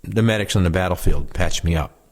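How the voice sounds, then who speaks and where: Low-voiced man reading memoirs. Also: a little fast. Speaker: reader of veterans' oral histories. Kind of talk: reading first-person war memoirs aloud.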